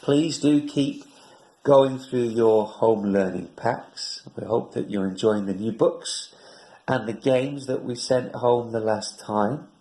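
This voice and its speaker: A man's voice: medium pitch, slow pace, mellow, low-quality recording. A man from a school staff, speaking encouragingly to young pupils and their parents.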